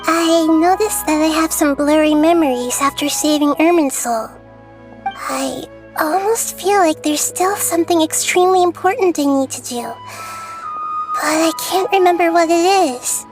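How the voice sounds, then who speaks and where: A gentle, wise little girl deity. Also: very high-pitched, big pitch swings, a little slow, music in the background, full character voice. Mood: pensive. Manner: soft-spoken, thoughtful. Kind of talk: game cutscene voice acting.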